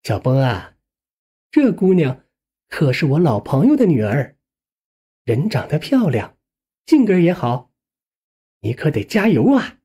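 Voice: medium pitch, huge pitch swings, unhurried, pauses often, mellow, slightly rough, heavily acted. A narrator voicing a bossy but doting middle-aged aunt in an audio story, nagging and coaxing.

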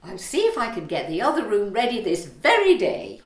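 A woman's voice: medium pitch, huge pitch swings, normal speed, echoey room, a little hiss or hum. A woman playing a flustered, placating Victorian boarding-house landlady in a stagey comic audio play. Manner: placating, coaxing.

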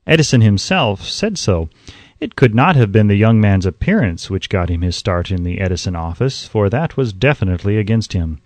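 A man reading an audiobook as scripted narration, in a measured, emphatic way, close to the microphone. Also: fairly low pitch, darkish tone, no room sound.

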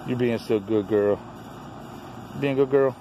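A man's voice: mid-range voice, unhurried, muffled recording. A middle-aged man casually showing things off in short, folksy, offhand phrases. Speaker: toy collector and store owner hosting a vlog. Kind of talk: casual handheld show-and-tell commentary. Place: outdoors in a backyard and cluttered storage shed. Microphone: handheld phone behind the camera. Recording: clearly noisy outdoor phone recording.

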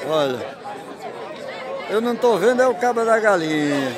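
A man with a high, swinging voice and a Northeastern Brazilian accent chats casually while filming outdoors. He is surrounded by busy crowd noise, picked up on a handheld phone. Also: lively intonation, moderate pace. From Brazil, rural Pernambuco. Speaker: vlogger filming at a livestock fair. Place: outdoors at an open cattle fair. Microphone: handheld phone behind the camera. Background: crowd chatter.

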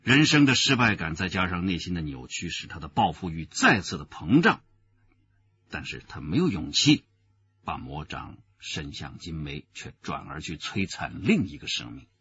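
Low, animated, authoritative detective. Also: male voice, heavily acted. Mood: analytical, grave. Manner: deliberate, explanatory, authoritative. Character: seasoned male police detective leading case analysis. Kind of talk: Chinese radio drama acting. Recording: muffled radio drama recording.

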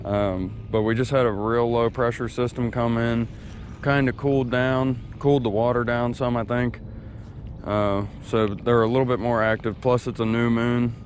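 A laid-back man talks casually while fishing at a lake, his speech unhurried and drawling, sitting at a middle pitch. It sounds like a head-mounted action camera outdoors, with a faint hiss of open air behind him. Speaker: fishing vlogger.

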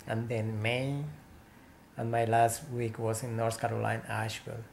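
A man in his forties from Peru, with a Spanish accent, telling his story in halting English full of pauses and restarts. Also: fairly low pitch, monotone, gravelly, a little hiss or hum. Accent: Peruvian Spanish. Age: 40s. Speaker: interviewee, painter. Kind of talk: casual interview. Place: small room with painted walls, indoors.

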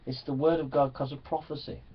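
A man's voice: a little high, little pitch movement, a little fast, breathy edge. A man preaching a Bible teaching sermon to young people in an earnest, exhorting manner, often checking for agreement. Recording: distant microphone, slightly dull sound.

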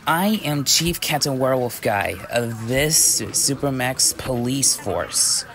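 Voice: mid-range voice, animated, takes it slowly, fairly bright tone, a little hiss or hum. A performer with a woman-like voice acting out an easygoing, dutiful werewolf police chief in scripted ASMR roleplay.

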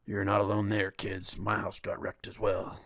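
Man acting a gravelly, belligerent old American soldier. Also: fairly low pitch, monotone, moderate pace, a bit bright, dry recording, clean studio sound. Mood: gruff. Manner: boastful, blunt, swearing. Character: ageless gruff patriotic old American soldier, belligerent and proud. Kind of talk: comic-book voice acting.

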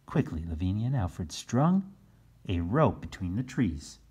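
A man narrates a children's storybook. His voice is husky and swings widely in pitch, and he takes his time over the words. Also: sing-song, unhurried, mellow. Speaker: storybook reader. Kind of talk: animated children's read-aloud narration.

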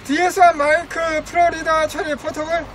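A middle-aged man with an extremely high voice works as an amateur radio operator outdoors. He gives call signs in short, formulaic bursts, recorded from a few metres off in an open field under very heavy noise. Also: little pitch movement, moderate pace. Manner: clipped, formulaic call-sign delivery. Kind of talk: ham radio call exchange. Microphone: camera a few metres away. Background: loud ambient and radio noise.

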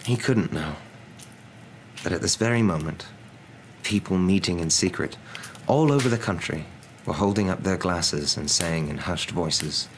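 A young adult man reading a novel aloud in a hushed ASMR storybook narration. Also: low-pitched, expressive, a little slow, low-quality recording. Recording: noticeable background noise.

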